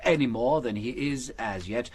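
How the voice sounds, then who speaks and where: An actor with a British accent playing a gentle, shrewd priest detective in a radio drama, chuckling and teasing as he patiently explains. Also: mid-range voice, moderate pace, natural voice. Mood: explaining, amused.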